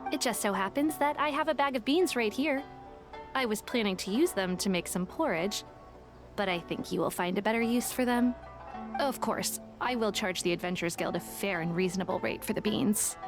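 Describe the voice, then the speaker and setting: A woman playing a calm, shrewd young woman in a video game, speaking in a measured, matter-of-fact, dry way. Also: medium pitch, lightly acted. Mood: composed. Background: game music.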